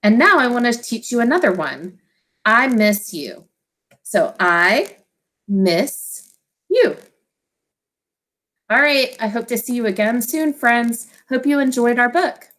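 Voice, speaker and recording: A woman teacher reading a children's storybook aloud, expressively and in simple, deliberate phrases for young listeners. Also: on the high side, lively intonation, a bit bright.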